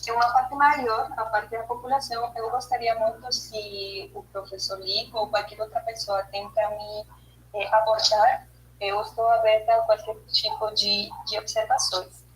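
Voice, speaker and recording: Woman on a video call, somewhat high voice, hesitant presenter with fillers. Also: level tone, moderate pace, slight background noise, poor audio. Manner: careful, hesitant, with many fillers and restarts. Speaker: study group presenter. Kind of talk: online study group presentation.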